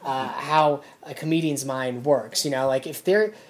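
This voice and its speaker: A man's voice: medium pitch, measured pace. Rambling man ranting about comedy. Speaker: comedian and podcast co-host. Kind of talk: casual comedy chat.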